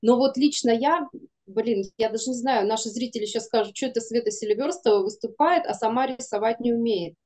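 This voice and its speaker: A woman's voice: on the high side, fairly quick, mellow. A woman in her thirties or forties, an interview guest talking casually and expressively on a video call. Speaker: guest, animation studio producer. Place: room indoors, video call.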